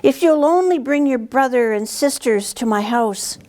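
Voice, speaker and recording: Woman voicing a coaxing wicked witch, pitched up. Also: expressive, normal speed, a little mellow. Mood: tempting. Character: wicked, tempting icy witch queen.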